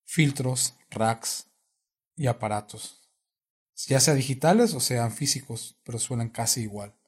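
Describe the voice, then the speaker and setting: A man's voice: mid-range voice, measured pace, husky. A man, a guest sound engineer in a music studio, patiently explaining synthesizers and sound, repeating and restarting words as he thinks.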